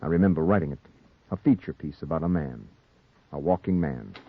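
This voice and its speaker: An actor playing a haunted newspaper reporter with a secret killing, in a brooding 1940s noir radio drama. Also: male voice, very deep voice, animated, slight background noise. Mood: brooding, ominous. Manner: brooding, deliberate first-person storytelling. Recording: muffled 1940s radio recording, music behind.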